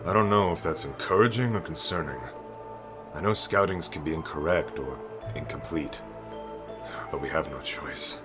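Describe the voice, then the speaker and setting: A man playing a gruff, stalwart military captain who speaks in terse commands in an audio drama. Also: a bass voice, moderate pace, over music. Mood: grave, commanding. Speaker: voice actor in audio roleplay.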